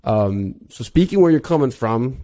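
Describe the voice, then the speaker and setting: A man's voice: medium pitch, lively intonation, moderate pace, warm tone. A man with a Wisconsin accent hosting a casual podcast interview, chatty and rambling. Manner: rambling, chatty, self-deprecating. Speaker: podcast host.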